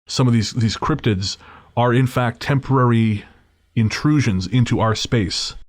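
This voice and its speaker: A man's voice: medium pitch, measured pace, dry recording, clean studio sound. A man hosting a podcast, casually interviewing a guest and asking curious, thoughtful questions. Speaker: podcast host.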